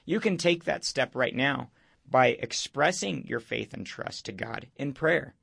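A male radio show host, hoarse this morning, speaking in an earnest, preaching way. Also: medium pitch, animated, a little slow, a bit bright, no room sound, studio quality. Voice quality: gravelly. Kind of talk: evangelistic radio talk.

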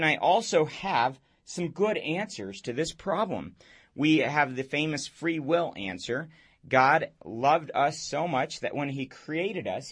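Man, hoarse and raspy, husky tone, earnest radio host, explanatory talk. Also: medium pitch, fairly bright tone. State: hoarse from a cold.

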